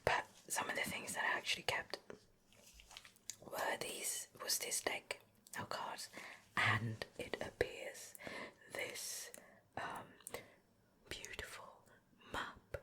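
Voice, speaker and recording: A woman giving a gentle, unhurried show and tell in a soft, breathy ASMR whisper, very close to the mic. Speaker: ASMR video creator. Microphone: close ear-to-ear ASMR microphone.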